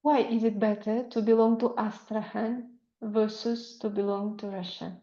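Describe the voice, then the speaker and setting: Woman coach asking calm questions, mellow voice, dull echoey room audio. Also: medium pitch, moderate pace. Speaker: language coach.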